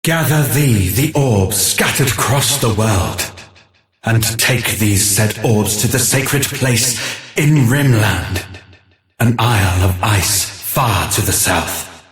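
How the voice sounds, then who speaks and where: A man's voice: fairly low pitch, slow, soft background music. A man voicing a solemn prophetic sage in a fantasy game, with grave, archaic, formal declamation. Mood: solemn, portentous. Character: solemn, ancient-sounding prophetic male sage awaiting the hero. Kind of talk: scripted fantasy game dialogue. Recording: roomy echo, distant from mic.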